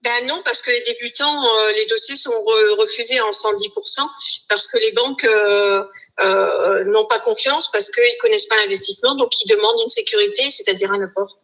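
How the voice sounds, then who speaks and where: A woman from the Hauts-de-France with a bright, crisp voice works as a real estate agent pitching her services. She explains slowly and often pauses on fillers while keeping a confident tone. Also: dull audio. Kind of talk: sales call explanation.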